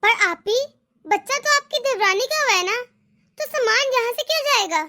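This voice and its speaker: A woman voicing an outspoken young daughter-in-law in an animated family drama, respectful in address yet questioning and argumentative. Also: very high-pitched, normal speed, exaggerated voice. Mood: polite, questioning.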